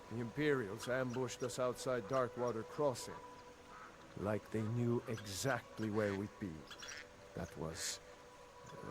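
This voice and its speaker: A man playing a weary, earnest rebel soldier in a video game, with loud noise behind him. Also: medium pitch, lightly acted. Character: weary, grateful rebel soldier, a devoted brother. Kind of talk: video game dialogue acting.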